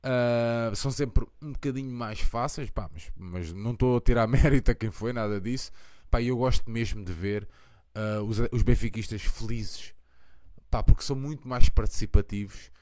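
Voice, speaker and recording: A man in his thirties with a European Portuguese accent hosts a late-night live fan stream. His voice is smooth and resonant, recorded dry and close. He talks with passion, rambles, and leans on fillers. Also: mid-range voice, moderate pace, a little mellow, no echo. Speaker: podcast host of a fan channel. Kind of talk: casual live chat.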